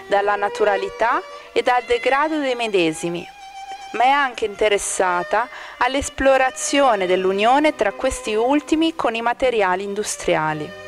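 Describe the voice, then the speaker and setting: Woman reading formal art commentary. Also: fairly high pitch. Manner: measured, formal reading of critical text. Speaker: exhibition narrator. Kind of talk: scripted art-critic narration.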